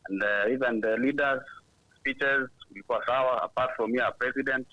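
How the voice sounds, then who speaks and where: A man's voice: medium pitch, normal speed, no room sound. A Kenyan man from Nairobi, calling in to a talk show and chatting casually in English and Swahili. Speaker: caller. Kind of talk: call-in comment. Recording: muffled phone-in line.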